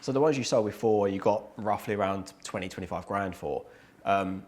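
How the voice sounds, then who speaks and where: A man's voice: on the low side, a little fast, a little mellow. A man in his thirties hosting a casual interview, asking loose, chatty questions. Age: 30s. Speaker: host.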